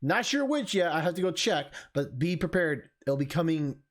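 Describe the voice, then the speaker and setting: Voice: medium pitch, sing-song, moderate pace. A video game streamer with an informal way of talking, narrating and chatting to viewers. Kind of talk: reading a visual novel aloud, casual vlog chat. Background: music.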